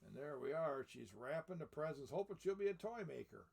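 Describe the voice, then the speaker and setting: An older man reads a children's picture book aloud as Santa. His voice is gravelly and a little high for a man. He drifts between storytelling and rambling, folksy asides to his listeners. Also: very animated, fairly quick, darkish tone. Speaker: storyteller in the persona of Santa Claus.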